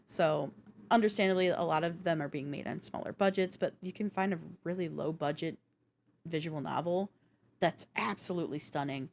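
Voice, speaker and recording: A woman co-hosting a podcast in casual gaming chat, telling things in an animated, self-deprecating way. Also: deep voice, moderate pace, a little hiss or hum. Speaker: podcast co-host.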